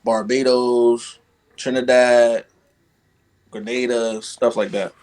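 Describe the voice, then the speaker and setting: A man's voice: medium pitch, level tone, takes it slowly, darkish tone. A young man from Guyana, a podcast guest, chatting casually and padding his talk with "you know what I'm saying.". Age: young adult. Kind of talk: casual conversation.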